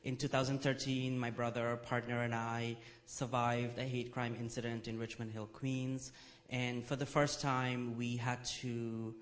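A man speaks on a panel to a college audience about his organization. His voice sits at a middle pitch, and he sounds enthusiastic though now and then halting. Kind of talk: panel talk.

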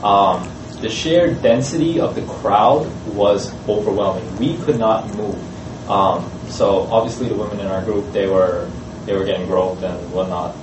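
Male student panelist, low voice, halting delivery; distant mic in a noisy echoey room. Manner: hesitant, many "uh" and "um" fillers. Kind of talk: casual panel talk.

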